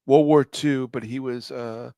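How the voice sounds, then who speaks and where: A man telling stories casually on a podcast, often halting and searching for his words. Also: mid-range voice, steady tone, mellow, lo-fi sound, a little hiss or hum. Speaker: podcast co-host. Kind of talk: casual storytelling on a live podcast.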